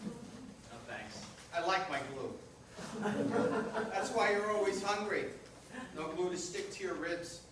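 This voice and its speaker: Noisy distant recording, man acting as distracted grumpy older husband, slightly high voice, dry stage comedy delivery. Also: expressive, slow pace, long pauses, heavy reverb. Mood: grumbling. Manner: dry, grumbling, conversational. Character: grumbling, distracted older husband reading the paper. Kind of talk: community reader's theater comedy. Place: reader's theater performance in echoey venue.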